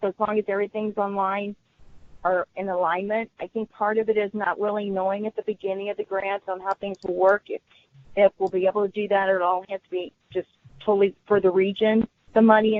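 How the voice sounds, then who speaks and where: A woman speaks up as a participant in a conference call. Her voice sits at a middle pitch and her delivery is informal. The line is thin and phone-like, with no room sound. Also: no room sound. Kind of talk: informal meeting comment.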